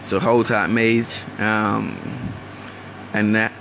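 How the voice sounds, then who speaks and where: A man with a London accent that still carries Caribbean traces rambles through a solo podcast intro in loose, slangy talk. His low voice has a gravelly fry. An air-conditioner hum and steady noise sit under the recording. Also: drawn-out words. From Guyana. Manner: rambling, slangy, restarts often. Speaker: podcast host. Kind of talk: casual solo monologue.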